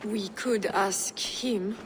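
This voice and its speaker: A woman's voice: mid-range voice, steady tone, extremely slow, long reverb tail, a little hiss or hum. An actress playing a sardonic, prickly noblewoman in a video game, with arch, dry, formal speech. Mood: scheming. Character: sardonic, prickly noblewoman trapped in a loveless marriage. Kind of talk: video game cutscene acting.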